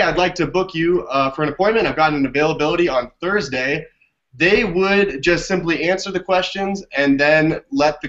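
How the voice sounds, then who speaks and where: A man's voice: a little high, a bit bright. A man answering as an interview guest over a video call, explaining things conversationally. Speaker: interview guest, business development director. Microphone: video call microphone. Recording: dull lo-fi video call audio.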